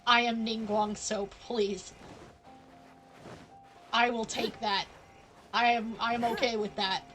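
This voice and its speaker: A woman's voice: on the high side, little pitch movement, slow pace, a bit bright, soft background music. A woman game streamer chatting casually and playfully, tossing teasing asides at the game as she plays. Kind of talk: casual live-stream chat while gaming.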